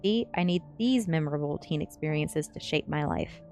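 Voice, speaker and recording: A woman's voice: low-pitched, expressive, moderate pace, a little mellow, soft background music. A woman reading the part of a sardonic, stubborn, dramatic goth teenage girl in a story. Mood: insistent.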